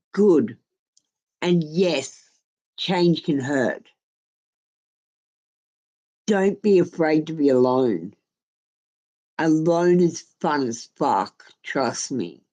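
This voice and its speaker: A woman's voice: low-pitched, animated, extremely slow, pauses often, warm tone. A woman giving a casual live tarot reading, offering blunt, informal advice with some swearing. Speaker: tarot reader and live stream host.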